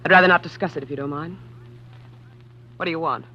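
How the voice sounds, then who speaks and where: A woman's voice: sits low, fairly even intonation, quick pace, bright, forceful tone. An actress playing a cold, proud, imperious woman in a 1940s radio mystery, curt and scornful. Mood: icy, guarded. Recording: dull, noisy 1940s radio recording.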